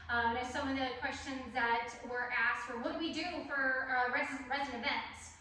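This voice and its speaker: Chatty bright woman in echoey room. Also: high voice, fairly even intonation, normal speed, recorded from a distance, slight background noise. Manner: chatty, many ums and restarts. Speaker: property office staff member. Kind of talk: casual team video presentation.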